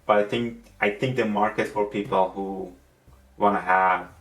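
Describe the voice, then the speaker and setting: Persian-accented man, halting review talk. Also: lowish voice, fairly even intonation, a bit bright. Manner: halting, repeats words and restarts often. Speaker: tech reviewer. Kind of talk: casual product review. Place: room at a desk, indoors. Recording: roomy, distant mic with faint music.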